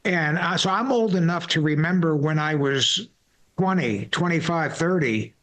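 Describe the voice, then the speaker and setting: A man's voice: on the high side, moderate pace, a bit bright. A man in his sixties hosting a podcast, telling stories in a loose, rambling way with repeated words and folksy asides. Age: 60s. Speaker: podcast host. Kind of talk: casual conversational interview.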